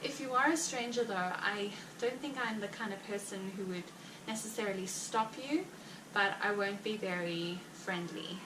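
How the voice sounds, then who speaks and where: A heavily pregnant woman with a South African Afrikaans accent, chatting casually to her viewers in a rambling way with many fillers and quick laughs. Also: medium pitch. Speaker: vlogger. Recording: roomy, distant mic with background noise.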